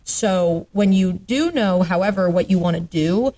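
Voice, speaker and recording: A woman's voice: fairly low pitch, unhurried, mellow, rough recording. A woman in her late twenties from the Atlanta area, a sports journalist chatting as a podcast guest in an upbeat way with lots of ums. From Atlanta area, Georgia. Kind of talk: casual interview chat.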